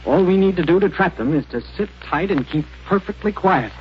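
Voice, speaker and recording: A man's voice: high voice, animated, a little fast. An actor playing a brave young male space pilot in a 1930s radio drama, speaking in a confident, commanding way. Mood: tense. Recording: dull old radio recording.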